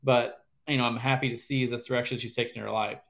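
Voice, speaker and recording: A man speaking as a podcast guest, his voice sitting at an ordinary middle pitch. He talks in a rambling, halting way, circling back and restarting his sentences. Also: steady tone, vocal fry, a bit bright. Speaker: former caddie, guest. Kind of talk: casual interview chat.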